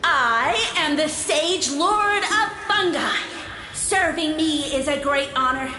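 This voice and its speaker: A woman's voice: high voice, expressive, takes it slowly, reverberant, background noise. A woman voicing a pompous, condescending mushroom lord in a video game, with grandiose and archaic formal speech. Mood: grand, affronted. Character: pompous, condescending mushroom lord, self-styled sage of fungi.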